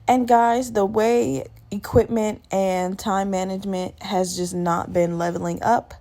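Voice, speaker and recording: A woman hosting a casual solo podcast, chatting in a rambling, candid, self-deprecating way with a slightly gravelly, just-woken-up voice. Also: medium pitch, fairly even intonation, a little slow, mellow. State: just woke up. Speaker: podcast host.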